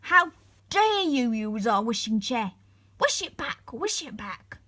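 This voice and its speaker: Lady doing an angry bossy wizard for a kids storybook, loud, scolding, dry close sound. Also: very animated, slow pace, no room sound, exaggerated voice. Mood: enraged. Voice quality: deep, rumbling. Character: tall bearded wizard, strange, angry, commanding.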